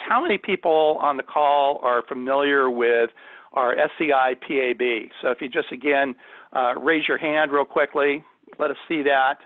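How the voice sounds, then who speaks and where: A man's voice: mid-range voice, no room sound. A man working as a corporate trainer, leading an online workshop in a conversational, emphatic, instructive way. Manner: emphatic, instructive, addresses listeners with questions. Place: indoors, on a video call. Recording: thin phone-like audio, dry.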